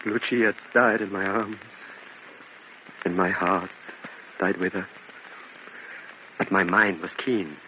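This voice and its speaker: A man's voice: low-pitched, fairly even intonation, slow pace, pauses often. An actor playing a vengeful, grieving and slyly courteous nobleman in an old-time radio gothic drama. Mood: brooding, resolute. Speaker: narrator and lead actor. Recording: muffled, noisy old radio recording.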